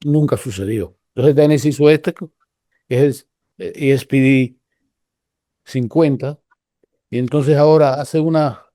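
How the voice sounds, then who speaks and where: An older man from Cuba with a Cuban Spanish accent, telling stories in a relaxed, rambling way with many restarts. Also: medium pitch, a little slow, pauses often, dry recording, studio quality. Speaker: guest researcher. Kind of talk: casual conversational storytelling.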